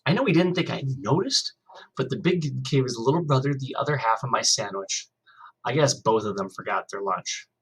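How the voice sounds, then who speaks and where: A man's voice: mid-range voice, lively intonation, a little fast, slightly rough, poor audio. A male teacher reading a novel aloud to his class, reading expressively and stopping to ask the students questions.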